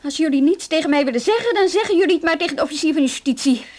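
An actress in a radio play voicing a brisk, wry female police inspector with a dry, businesslike manner. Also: high voice, expressive, heavy background noise. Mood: curt, resolute. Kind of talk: radio drama acting.

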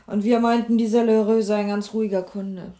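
An actress playing a pert, reluctant detective's assistant in a German radio crime drama. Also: female voice, mid-range voice, level tone, fairly quick, dark tone, natural voice.

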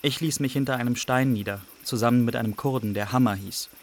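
A man's voice: medium pitch, fast talker, close to an everyday voice. Male actor as young refugee telling his journey plainly, normal mid voice, radio play, faint ambient noise. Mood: sober recounting. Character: young male refugee recounting smugglers and border crossings. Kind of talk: scripted radio drama narration.

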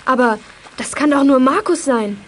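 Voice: high voice, normal speed, a little hiss or hum, lightly acted. A young female performer playing a caring, outspoken girl detective in a German children's radio play from the 1980s. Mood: firm.

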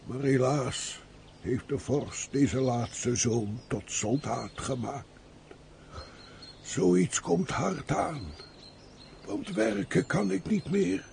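An actor playing a pious, frail peasant of about seventy in a theatrical radio play, with an aged voice and emotive sighs. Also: male voice, medium pitch, a little mellow, heavily acted. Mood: wistful sorrow. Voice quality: creaky, aged voice. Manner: slow, emotive, full of sighs and exclamations. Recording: faint background noise, old radio play.

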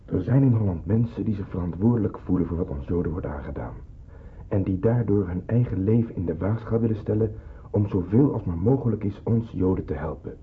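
An actor playing a kindly older man in the resistance, earnest and gently persuasive, in a radio drama. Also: sits low, a little fast, husky. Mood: earnest, pleading. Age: middle-aged. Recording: muffled, narrow-band old radio play, hissy.

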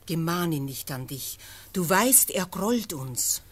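An actress playing the hunter's worried, devoted wife, pleading anxiously in verse in a classic radio drama. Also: female voice, fairly low pitch, animated, slow pace, slightly rough, full character voice.

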